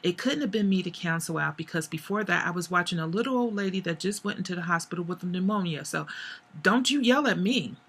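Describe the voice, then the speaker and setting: A woman reading aloud as a young woman who firmly pushes back, defensive and steady. The voice is hoarse and husky with a bright, gravelly edge, storybook style. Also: lowish voice, brisk, full character voice. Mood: indignant. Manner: firm, defensive.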